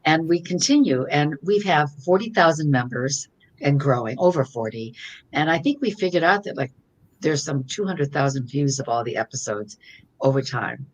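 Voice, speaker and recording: An older woman speaks in a very low, slightly gravelly voice over a video call. She chats in a loose, friendly way, trailing off mid-thought and circling back. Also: expressive, moderate pace, low-quality recording. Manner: chatty, trailing off and restarting. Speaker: author guest. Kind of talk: casual conversation on a virtual event. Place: home, virtual call.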